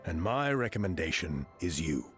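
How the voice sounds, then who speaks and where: Formal earnest tribe official for a video game, kinda deep, confident, lively intonation. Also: male voice, moderate pace, music in the background. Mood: assured.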